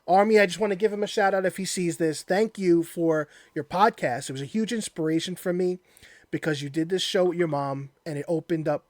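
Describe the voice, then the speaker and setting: Man, podcast host, slightly high voice, casual interview chat, earnest, dry sound. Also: fairly quick, darkish tone, no room sound.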